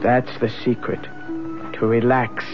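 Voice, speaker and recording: An actor playing a sinister, soothing bargain-maker in a 1970s radio drama, deliberate and commanding. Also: male voice, mid-range voice, level tone, close to an everyday voice. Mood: calm, insistent. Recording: dull lo-fi old radio broadcast. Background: faint music.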